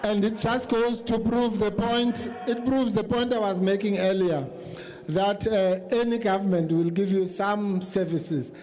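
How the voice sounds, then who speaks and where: A mature man with a South African accent making a halting, explanatory argument in an unscripted political debate. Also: high-pitched, darkish tone, low-quality recording. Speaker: advocate, political panellist. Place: public town hall event.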